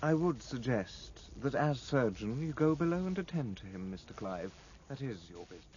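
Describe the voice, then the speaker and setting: An actor playing a sardonic, world-weary British naval lieutenant with a husky voice in a 1950s radio drama. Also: male voice, medium pitch, animated, normal speed, darkish tone, a little hiss or hum, lightly acted. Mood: cool, disapproving. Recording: dull old radio recording.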